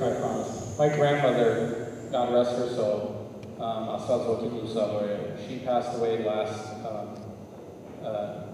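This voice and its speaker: A man speaks on a panel in a low, flat voice, heartfelt and reflective. He sounds distant in a reverberant hall, with steady noise behind him. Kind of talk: panel answer. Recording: echoey hall, distant mic, noisy.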